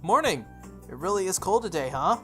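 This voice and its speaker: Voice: medium pitch, sing-song, a little fast, a bit bright, pitched above the performer's own voice. A game player voicing a frank, tomboyish teenage schoolgirl from a visual novel, blunt and friendly, with music behind. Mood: breezy, teasing. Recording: music under the voice.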